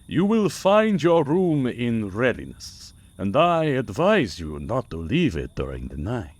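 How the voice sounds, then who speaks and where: An actor playing a courtly, menacing vampire nobleman in a vintage radio horror drama, deliberate and formal. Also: medium pitch, huge pitch swings. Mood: courtly, commanding. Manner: slow, deliberate, formal and ominous.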